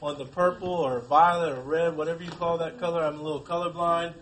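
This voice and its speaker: A man's voice: fairly high pitch, steady tone, quick pace, fairly bright tone, a little hiss or hum. A man teaching a class, reading aloud and prompting pupils with questions. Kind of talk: interactive classroom read-aloud and discussion.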